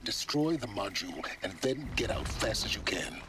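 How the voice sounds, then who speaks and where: An actor playing a terse, calculating guide in a video game, giving controlled, curt orders. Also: male voice, on the low side, lively intonation, moderate pace, over music, lightly acted. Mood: dry, controlled.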